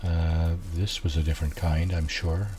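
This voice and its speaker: A man with a low, soft voice, quietly talking through a video game at an unhurried pace, musing aloud with many fillers. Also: flat delivery, slight background noise. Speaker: gameplay streamer. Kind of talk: casual let's-play commentary.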